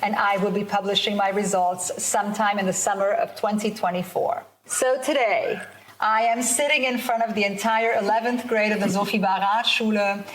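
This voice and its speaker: Woman presenting research, measured and clear. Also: medium pitch, fairly even intonation, slight background noise, low-quality recording. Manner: measured, explanatory speaking to a large audience. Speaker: researcher moderating a student panel. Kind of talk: presenting research, then moderating a panel discussion. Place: school event in front of students, teachers and guests.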